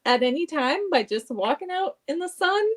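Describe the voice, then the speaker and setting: A woman with a fairly high, animated voice banters as a review co-host. She is informal and wry, tossing in jokes with lots of movement in her intonation. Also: moderate pace. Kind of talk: casual chat, reacting and joking along.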